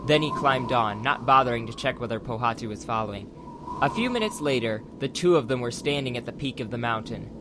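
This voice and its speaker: A man's voice: mid-range voice, expressive, fairly quick, noisy background. A man reading scripted audiobook narration as the narrator of a dramatized story. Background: background music.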